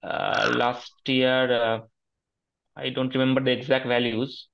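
Halting young Indian man, mid-pitched. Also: flat delivery, vocal fry, fairly bright tone, muffled recording. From Kolkata, India. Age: 20s. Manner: halting, deferential, hedging. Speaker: interview guest, successful exam candidate. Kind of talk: conversational interview answers, giving advice. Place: small room at home, video call. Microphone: headset.

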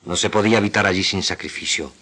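A man with a very low, forceful voice recites literary prose like a trained radio actor. His delivery is solemn and measured, broken by deliberate pauses. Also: husky. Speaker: radio drama actor reading literary excerpts.

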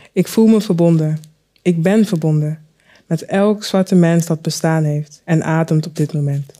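A woman storyteller performing a spoken word piece, with deliberate, dramatic phrasing and rhetorical questions. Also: fairly low pitch, dark tone. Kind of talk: spoken word recitation, poetic monologue.

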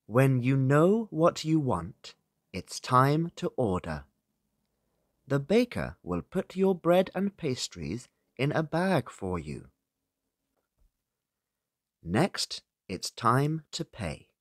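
A man's voice: mid-range voice, very animated, takes it slowly, long pauses, no room sound, clean studio sound. A British-accented man narrating a scripted beginner listening lesson as a teacher, in simple, separated phrases for learners. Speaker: English teacher.

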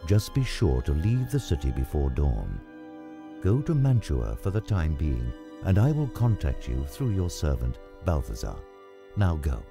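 Man acting a deep, grave old friar. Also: wildly swooping pitch, unhurried, gravelly, over music, heavily acted. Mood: grave, reassuring. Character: grave, fatherly old friar giving counsel. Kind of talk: dramatized storybook dialogue.

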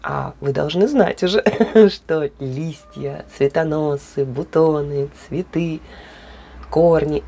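A woman with a hoarse voice, chatting casually and animatedly in a hobby plant vlog, with laughs and fillers. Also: sits low, dark tone, slight background noise. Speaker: orchid grower.

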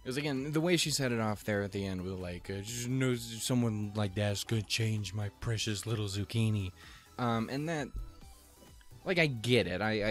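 Guy from montana rambling and cussing thru anime commentary, lowish voice, faint music under it. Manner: rambling, profane, jokey, with goofy pitch jumps. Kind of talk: casual reaction commentary.

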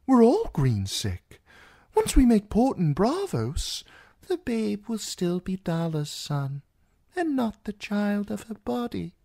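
A narrator doing a soft-spoken ancient maester. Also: male voice, extremely high pitch, gravelly, no echo, studio quality, voice pushed up, heavily acted. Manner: soft, slow, hushed speech. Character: ancient frail blind male maester, gentle and wise. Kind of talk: audiobook character voice.

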